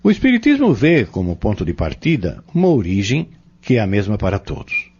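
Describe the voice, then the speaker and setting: A low, animated Brazilian male mentor acted by a man, earnest and didactic. He lays out his lesson in measured phrases and pointed questions, as in an audio drama, on a dull recording. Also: slightly rough, exaggerated voice. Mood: earnest, instructive. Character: earnest male Spiritist teacher explaining doctrine to youths.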